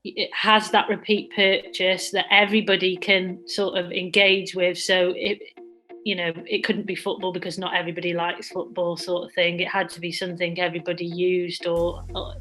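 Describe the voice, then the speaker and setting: A woman's voice: lowish voice, little pitch movement, normal speed, sharp tone, low-quality recording. A woman co-founder answering interview questions in a conversational way, with many restarts and "sort of" fillers. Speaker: social enterprise co-founder. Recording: music playing under the voice.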